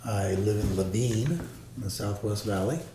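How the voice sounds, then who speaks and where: A man's voice: on the low side, fairly even intonation, normal speed. A man in his thirties who drives trucks, introducing himself in a brief, matter-of-fact way. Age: 30s. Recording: echoey hollow room with background noise.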